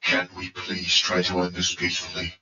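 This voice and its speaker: Man playing a low, weary heroic robot commander. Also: completely flat delivery, moderate pace, bright, forceful tone. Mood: weary pleading. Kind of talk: fan audio drama acting. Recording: echoey, muffled, distant from the mic.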